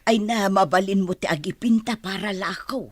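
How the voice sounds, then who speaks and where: A woman's voice: mid-range voice, normal speed, no echo, natural voice. An actress playing a devoted, motherly older household nanny who exclaims and fusses, in a radio drama. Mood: effusive. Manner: exclaiming, fussy, effusive.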